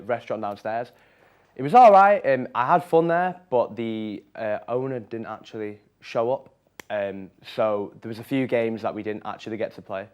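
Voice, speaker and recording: A young man giving his honest ratings of places in a relaxed, hesitant chat. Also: on the low side, smooth. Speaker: student reporter. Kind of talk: casual presenting.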